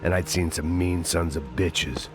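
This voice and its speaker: Bitter ex-cop narrator, American, very deep voice, sardonic deadpan, hard-boiled video game monologue, loud music behind. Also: male voice, measured pace. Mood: bitter, resigned.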